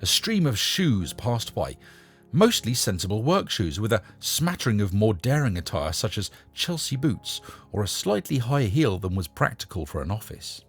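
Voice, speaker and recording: A man with a husky voice narrating a short story in a measured, expressive storytelling manner. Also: lowish voice, faint music behind. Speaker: narrator and host reading short stories. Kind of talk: scripted story narration with a short casual introduction.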